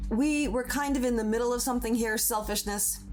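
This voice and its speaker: A woman's voice: on the high side, a little fast, a little mellow, close to an everyday voice. Woman acting a wistful sister over music. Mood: miffed. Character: lonely, wistful personified Moon, gently sisterly. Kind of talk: audio drama acting. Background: music with plucked guitar.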